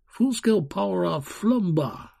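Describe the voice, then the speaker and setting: Man as a raised-pitch scrappy orphan boy. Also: lively intonation, a little slow, gravelly, mellow, full character voice. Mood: urgent. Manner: slangy, urgent.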